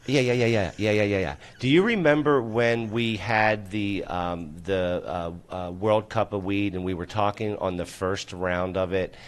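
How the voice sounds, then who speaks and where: Male host, low-ish voice, loose and rambling, casual live-stream chat. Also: moderate pace, hardly any pauses. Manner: loose, rambling, swears, lots of fillers. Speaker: talk show host.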